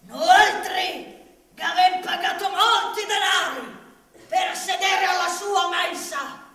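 A woman's voice: very high voice, sharp tone, exaggerated voice. A woman playing a domineering, greedy male master in a commedia dell'arte, pompous and scolding. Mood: grandiose, imperious. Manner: pompous, declamatory, scolding, drawn-out delivery. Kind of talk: commedia dell'arte stage acting. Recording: very echoey room, distant microphone.

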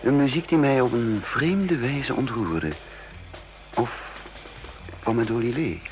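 A man's voice: medium pitch, animated, slow, music in the background. Een man die in een hoorspel een onrustige geheim agent speelt, die aarzelend en piekerend zijn eigen raadsel vertelt, op een oude radio-opname. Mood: tense, reflective. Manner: brooding, hesitant, self-questioning. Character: uneasy male secret agent narrating his own puzzle. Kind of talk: radio drama acting, first-person narration. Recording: dull lo-fi old radio recording.

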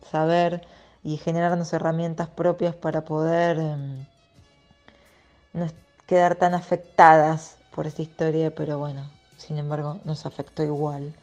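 A woman's voice: deep voice, dark tone. A woman with an Argentine accent giving reflective interview answers, hesitating as she searches for the right words. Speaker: interviewed writer and co-author.

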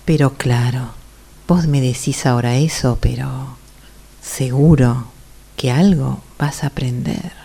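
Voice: mid-range voice, steady tone, takes it slowly, vocal fry, warm tone, slightly rough, full character voice. A performer with an Argentine accent acting a persistent mentor who urges trust, in a radio drama exercise. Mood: insistent, soft. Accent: Argentine Spanish. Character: persistent mentor urging someone to trust and learn.

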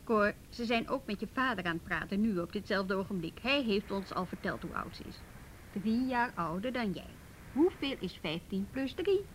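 An actress with a Netherlands Dutch accent playing a brisk, firm policewoman who handles youth cases in a radio drama. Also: mid-range voice, lively intonation, a little hiss or hum, lightly acted, rough recording. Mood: stern, probing. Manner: assertive, businesslike.

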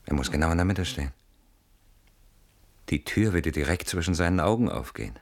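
An actor playing an anxious, suspicious, sardonic man in a German radio play, brooding and deliberate in his phrasing. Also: deep voice, expressive. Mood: hushed, fascinated dread.

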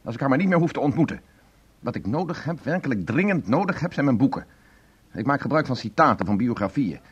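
An actor in a radio play voicing an aggrieved, insistent writer with a husky voice. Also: male voice, mid-range voice, big pitch swings, fast talker. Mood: insistent, irritated. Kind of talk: Dutch radio play acting. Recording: dull lo-fi radio play recording.

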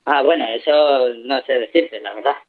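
A man's voice: medium pitch, moderate pace, natural voice. Man as a swearing, rambling punk diehard; tinny phone line, mid voice. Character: foul-mouthed male punk fan, decades devoted. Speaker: interviewee. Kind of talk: comic radio sketch.

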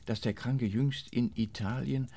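Creaky-voiced, pedantic male doctor. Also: medium pitch, steady tone, a little slow, warm tone, a little hiss or hum. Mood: pedantic, confiding. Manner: pedantic, formal, deferential. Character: formal male doctor, pedantic, deferential, clinically matter-of-fact. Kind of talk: German radio play dialogue.

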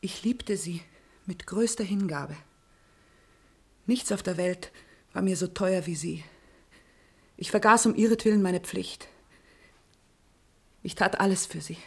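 A woman playing a forsaken, pious noblewoman in a 1950s radio play, solemn and fervent with a breathy delivery. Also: on the low side, a little slow, pauses often, a little mellow, heavily acted. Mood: tender, pleading. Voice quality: breathy, often near whisper.

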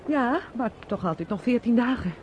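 A woman's voice: on the high side, expressive, normal speed, darkish tone, lightly acted. A woman acting in a radio play as an evasive, uneasy young niece, hesitant and guarded in her replies. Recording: muffled, slightly noisy old radio play recording.

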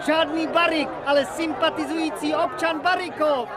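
An actor playing a newspaper street vendor calling out headlines in a radio play. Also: male voice, very high voice, steady tone, fairly bright tone. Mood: hawking. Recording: street crowd noise behind.

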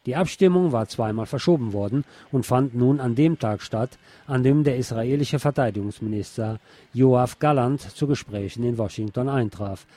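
A man reads the news from a script in standard German pronunciation. His voice has a soft, mellow tone at a medium pitch, suited to a radio bulletin. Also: dry recording.

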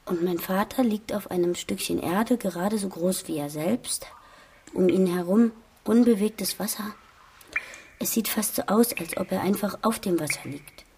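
A dreamy, reflective woman played by an actress thinks aloud in a dark, soft voice with vocal fry. She is hesitant and hushed, in radio drama style with faint noise behind. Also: medium pitch, moderate pace, natural voice. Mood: pensive, dreamy. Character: pensive, dreamy daughter puzzling over an image. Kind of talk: radio drama interior monologue.

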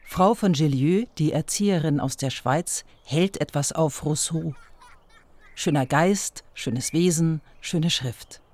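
A man narrating a literary radio play in measured, clipped poetic phrases, as if addressing its subject directly. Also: fairly high pitch, a little mellow.

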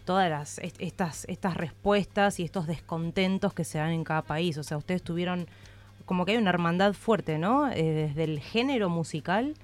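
An Argentine woman interviewing on the radio in a conversational style. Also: lowish voice.

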